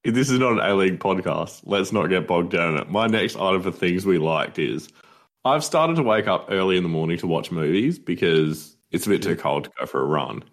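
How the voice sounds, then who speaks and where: A low-voiced man with an Australian accent, talking football on a podcast. He is enthusiastic and likes to tease his co-host in easy, casual chat. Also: lively intonation, fairly quick. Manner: enthusiastic, teasing.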